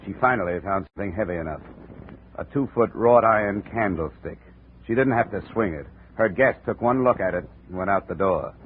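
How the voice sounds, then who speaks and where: Hard-boiled low-voiced radio detective played by a man. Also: a little fast, natural voice. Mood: dry, measured. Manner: clipped, hard-boiled, matter-of-fact. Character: tough, terse male insurance investigator narrating his case. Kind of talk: 1950s radio detective drama, first-person narration. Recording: muffled, noisy 1950 radio recording.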